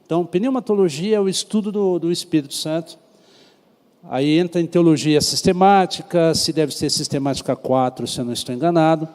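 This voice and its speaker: A fairly high-voiced man with a Brazilian Portuguese accent teaching a church Bible class. His tone is mellow and unhurried, and he keeps turning questions back to the class. The sound carries a long reverb, as if in a large church hall. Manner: conversational, asks the class questions. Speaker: pastor teaching Sunday school class.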